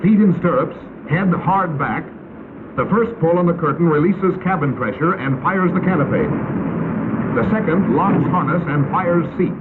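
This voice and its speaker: An American man narrating a 1950s military instructional film in an authoritative, procedural manner. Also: on the high side. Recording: tinny, band-limited, noisy 1950s film soundtrack.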